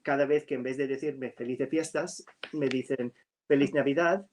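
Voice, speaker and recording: A man whose first language is English, a writer in a casual chat over a video call, speaking slowly and hesitantly with many fillers. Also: mid-range voice, steady tone, darkish tone. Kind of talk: casual conversation.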